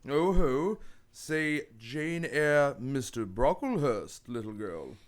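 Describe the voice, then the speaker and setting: A man playing a stern, pompous middle-aged gentleman who preaches sanctimoniously at a child in a radio play. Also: mid-range voice, animated. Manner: pompous, slow deliberate preaching with mock-shocked chuckles.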